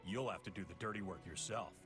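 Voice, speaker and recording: An actor playing a cool, scheming villain leader in a video game, composed, smug and precise. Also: male voice, fairly low pitch, fast talker, music in the background, lightly acted. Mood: cool, calculating. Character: cool, scheming male villain leader explaining his plan.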